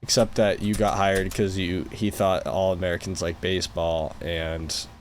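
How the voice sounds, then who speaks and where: A man's voice: low voice, little pitch movement, moderate pace, a little hiss or hum. A man hosting a podcast in casual chat, joking and laughing often. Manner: jokey, laughs often, mock-pronounces foreign phrases. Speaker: podcast host.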